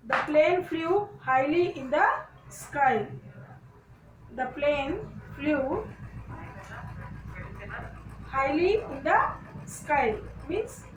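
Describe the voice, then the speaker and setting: A woman's voice: high voice, far from the mic. A woman from India, teaching a grammar lesson and repeating example sentences phrase by phrase. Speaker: English teacher. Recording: echoey room, voice a bit off-mic, faint noise.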